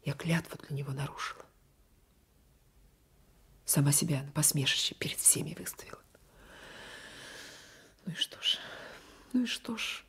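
An actress playing a defiant, lovestruck young peasant wife in a radio play, breathy and fervent, often dropping to a whisper. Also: female voice, sits low, animated, moderate pace, pauses often, fairly bright tone, exaggerated voice. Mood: anguished defiance. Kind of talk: 1980s radio play acting.

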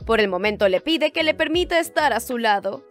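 A woman with a high-pitched voice narrates a scripted drama summary. She tells the plot as a storyteller would in a recap video. Music plays behind her voice. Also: animated, a little fast, fairly bright tone. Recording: voice-over with music underneath. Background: background music.

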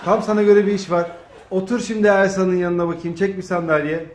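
A man's voice: high-pitched, steady tone, fairly quick. A man casually directing a comedy skit, prompting and instructing the others in a bossy way. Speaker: off-camera host directing a family comedy skit. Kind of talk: casual improvised skit banter. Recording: roomy echo with faint noise.

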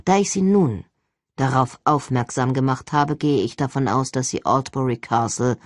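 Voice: medium pitch, moderate pace, no echo, studio quality, pitched below the performer's own voice, natural voice. An audiobook reader voicing a stern, arrogant older male agency director, speaking in an expressionless, formal and condescending way. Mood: cold, threatening. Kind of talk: audiobook character acting.

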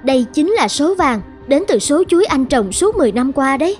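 Sweet young cartoon wife, gentle and earnest, high voice, bit of music behind. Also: female voice, very animated, a little fast. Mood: earnest, proud. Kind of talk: cartoon voice acting.

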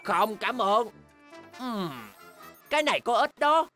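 Cocky little bear cub for a kids cartoon, highish and sharp, over the top, bit of music behind. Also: female voice, sing-song, slow, full character voice. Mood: boastful, scheming. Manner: exaggerated, emphatic, childlike delivery. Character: excitable, boastful young bear cubs in a cartoon. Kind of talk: animated children's cartoon dubbing.

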